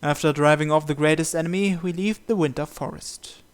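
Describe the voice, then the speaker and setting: Guy reading visual novel narration out loud, smooth clean voice, kinda high for a man, deliberate. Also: steady tone. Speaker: video game player reading the script aloud.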